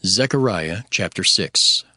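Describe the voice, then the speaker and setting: A man reading scripture as a narrator, in a measured, formal announcing manner. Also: sits low, animated, music in the background.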